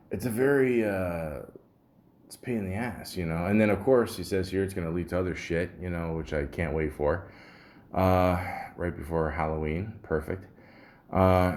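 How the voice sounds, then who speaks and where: Deep-voiced man, muffled and grumbling. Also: darkish tone. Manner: rambling, grumbling, profane. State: sore throat. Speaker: podcast host. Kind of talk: casual solo podcast rambling, reading symptom lists aloud.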